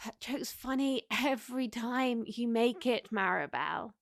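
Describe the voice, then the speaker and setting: A woman's voice: fairly high pitch, animated, slow, creaky, dry recording, exaggerated voice. A woman playing a polite, dry-witted young female customer at a magical cafe in an audio drama. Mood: dryly annoyed.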